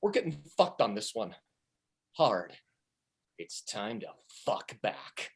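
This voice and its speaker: Woman as defiant male sidekick, sweary, theatrical, big swings in pitch, comedy table read. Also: very animated, slow, full character voice. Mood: urging. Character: foul-mouthed, defiant captive sidekick named Steve, male. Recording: video call.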